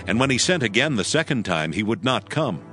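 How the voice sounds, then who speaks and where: Solemn low-voiced male narrator. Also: very animated, brisk, over music. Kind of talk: solemn scripted scripture narration.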